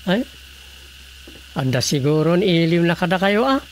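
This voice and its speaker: A good-natured family man played by a male actor speaks in a fairly high voice with an Ilocano Filipino accent. He talks in radio drama style, questioning and advising, over faint background noise. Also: animated, normal speed, close to an everyday voice. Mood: curious, easygoing.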